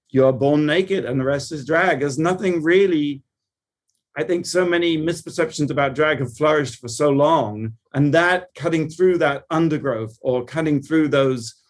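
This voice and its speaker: A man speaking as a television producer in an interview, giving articulate, reflective and wordy answers in a conversational way. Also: on the high side.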